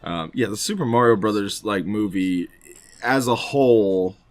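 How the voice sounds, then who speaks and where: A man's voice: on the low side, normal speed. A man co-hosting a casual podcast, talking in a rambling, informal way. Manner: rambling, informal, mild swearing. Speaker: podcast co-host.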